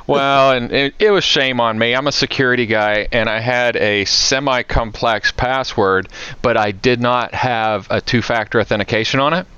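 A man's voice: mid-range voice, moderate pace, pushed and bright, clean tone, dry recording, dull audio. An American man chatting casually on a podcast as a co-host, telling anecdotes in a conversational way. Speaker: podcast co-host, IT security professional.

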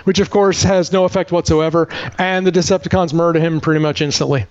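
A man's voice: a little high, steady tone, quick pace, highs cut off. A man giving a comedic movie plot recap as a podcast host, with sarcastic, exasperated storytelling.

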